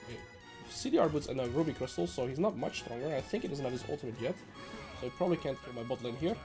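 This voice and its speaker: A man from the Netherlands, sounding nasal and a little sick, giving brisk gameplay commentary as a coach with joking asides. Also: mid-range voice, expressive, music in the background. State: slightly sick. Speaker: game coach.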